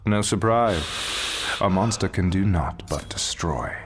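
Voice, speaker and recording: An actor playing a cold, scornful man with measured contempt in a dramatic video game. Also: deep voice.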